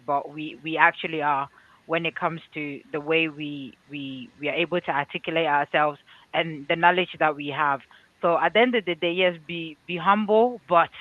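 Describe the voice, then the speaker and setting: A man from Ghana with a Ghanaian accent, hosting a livestream discussion in a reflective, measured way. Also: a little high, no room sound. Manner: reflective, measured, uses fillers. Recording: tinny phone-like call line.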